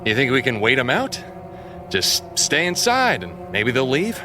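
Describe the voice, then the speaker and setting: A man's voice: medium pitch, very animated, a little slow. Male sci-fi soldier, wary but ready, audio drama, music behind. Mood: wary, hopeful. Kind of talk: full-cast audio drama acting.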